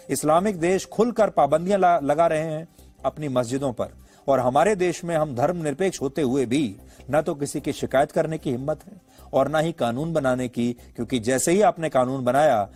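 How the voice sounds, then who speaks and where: A man's voice: a little high, a little mellow. A man presenting as a news anchor, delivering an editorial commentary in an emphatic, rhetorical, explanatory manner.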